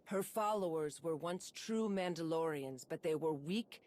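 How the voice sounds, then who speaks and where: A woman's voice: deep voice, a little slow, darkish tone. A woman acting a grim, honour-bound warrior hunter in a video game, formal and solemn in clipped statements. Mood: solemn, determined.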